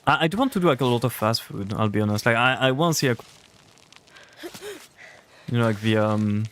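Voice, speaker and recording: A man's voice: mid-range voice, expressive, a little fast, a bit bright, a little hiss or hum. A young man with a French accent, chatting casually with his chat while playing a game on a livestream. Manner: chatty, rambling, answers chat. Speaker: streamer.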